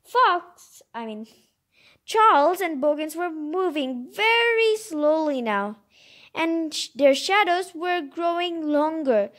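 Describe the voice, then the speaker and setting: A woman's voice: very high-pitched, lively intonation, takes it slowly, fairly bright tone. A woman reading a picture book aloud expressively, adding informal, enthusiastic asides of her own. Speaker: storybook reader and commentator. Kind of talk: read-aloud storytelling with chatty asides.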